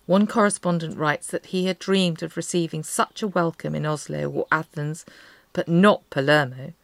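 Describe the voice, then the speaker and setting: A woman's voice: lowish voice, a bit bright, no echo. A woman playing a wry, observant wartime diarist, reading entries aloud. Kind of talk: dramatised diary reading.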